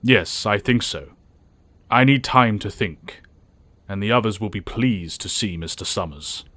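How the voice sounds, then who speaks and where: Man acting a low-voiced, wise older professor. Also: lively intonation, fairly bright tone. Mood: calm, pensive. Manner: measured, formal. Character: grave, wise older male professor, gentle mentor. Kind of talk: audio drama acting.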